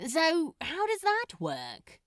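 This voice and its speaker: Woman voicing a slow, drawling, teasing male demon. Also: very high-pitched, wildly swooping pitch, fairly bright tone, no echo, studio quality, voice pushed up, exaggerated voice. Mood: mock-indignant. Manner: casual, drawling, teasing banter. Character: teasing, grumbling male demon, casual and sly.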